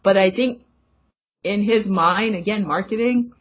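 A woman co-hosting a podcast, giving measured, analytical opinions in casual conversation. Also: medium pitch, husky. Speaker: podcast co-host. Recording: dull lo-fi recording.

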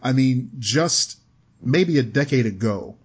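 A man's voice: medium pitch, expressive, slow pace, slightly rough. A man co-hosting a podcast, chatting casually with frequent laughs and rambling explanations, from the American Midwest. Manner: laughs often, rambling storyteller. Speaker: podcast co-host. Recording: slightly dull lo-fi audio.

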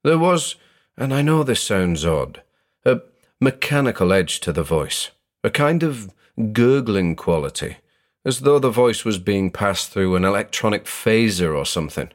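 A man narrating a first-person horror story in a measured, dramatic way. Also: on the low side, sing-song.

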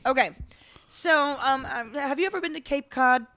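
Bright-voiced woman joking on a podcast. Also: sits high, moderate pace. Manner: joking, deadpan bits. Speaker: podcast co-host. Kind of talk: casual comedic chat.

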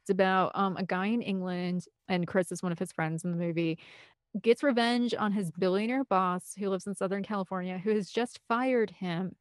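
A woman's voice: mid-range voice, steady tone, normal speed, no echo. A woman chatting as an interview guest, talkative and keen to explain things in a casual, conversational way. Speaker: guest, film composer and director.

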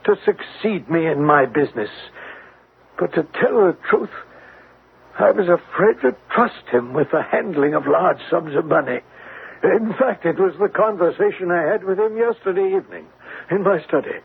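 A troubled old father in classic radio drama. His voice is highish and gravelly, with halting, confessional phrasing full of agitation. It comes through thin and narrow, like an old broadcast. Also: animated, exaggerated voice. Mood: rueful, agitated. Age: older. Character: distraught, remorseful elderly English banker and father. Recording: tinny, band-limited old radio, faint hiss.

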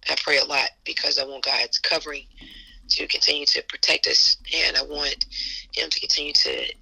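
Hoarse, gravelly woman speaking earnestly. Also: extremely low pitch, flat delivery, moderate pace, sharp tone, poor audio. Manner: earnest, faith-driven, repeats phrases for emphasis. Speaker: assistant basketball coach. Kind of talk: casual interview answers.

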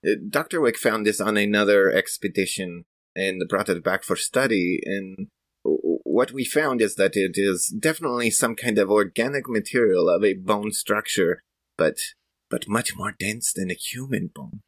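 A man's voice: lowish voice, animated, moderate pace, a bit bright, no room sound. A man playing a small, controlled woman scientist in a tabletop game, speaking hesitantly and carefully. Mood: careful, intrigued.

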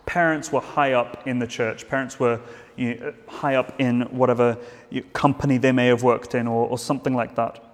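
A man's voice: medium pitch, little pitch movement, normal speed, in a large hall. A man preaching a sermon in a church, earnest, with frequent restarts and fillers.

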